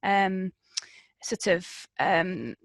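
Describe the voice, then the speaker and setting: A woman's voice: on the low side, lively intonation, very slow, no echo. A woman researcher answering questions in an explanatory way, often repeating words as she goes. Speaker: researcher guest. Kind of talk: interview answer.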